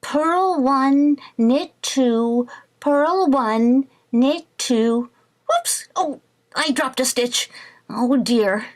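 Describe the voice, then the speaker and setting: A woman playing an excitable, scheming, flighty housewife in a 1940s-style radio comedy, theatrical and full of exclamations. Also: sits high, extremely slow, poor audio. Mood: flustered. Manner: theatrical, exclamatory, mock-innocent. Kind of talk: 1940s radio sitcom acting.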